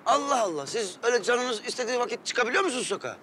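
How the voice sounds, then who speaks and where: High-pitched, scolding angry uncle. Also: male voice, sing-song, very fast, bright, forceful tone, slight background noise, full character voice. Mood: bitter reproach. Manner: reproachful, exasperated, sarcastic. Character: angry, scolding, protective uncle of young women. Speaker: actor in a TV drama. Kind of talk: Turkish TV drama acting. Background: dramatic background music.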